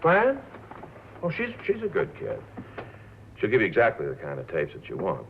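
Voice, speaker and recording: A man's voice: lowish voice, wildly swooping pitch, moderate pace, vocal fry, heavily acted. An American man acting as a cynical, worldly press agent in a 1950s film drama, with a wry, sardonic manner. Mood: wry, knowing. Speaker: actor in a feature film. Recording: muffled vintage film soundtrack, faint noise.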